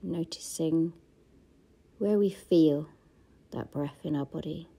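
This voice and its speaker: Woman meditation guide: dark, gravelly voice, soft and half-whispered, unhurried. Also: low-pitched. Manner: slow, soft-spoken, often half-whispered.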